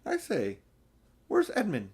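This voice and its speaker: A man's voice: sits high, wildly swooping pitch, slow, darkish tone, slightly rough, voice pushed up, heavily acted. A man voicing worried, questioning children in a storybook, with an earnest, exclamatory delivery. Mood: alarmed. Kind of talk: storybook character voices.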